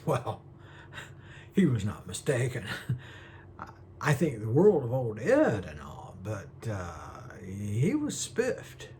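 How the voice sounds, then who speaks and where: A man plays a gentle, dreamy eccentric from a small town in a theatrical film monologue. He is courteous and folksy, rambling slowly and hesitantly, with a pitch that rises and dips freely. A faint hum sits behind the voice. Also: huge pitch swings, full character voice. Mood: fond, amused.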